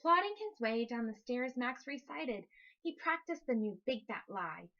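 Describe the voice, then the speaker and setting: High, animated woman reading a storybook. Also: a little slow, dull audio. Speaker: storybook reader. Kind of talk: expressive rhyming children's story narration.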